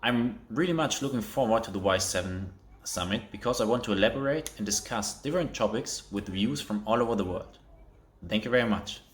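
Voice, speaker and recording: A man's voice: lowish voice, brisk, a little hiss or hum. A man, giving a friendly, straightforward self-introduction as a teacher. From Cologne, Germany. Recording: echoey room.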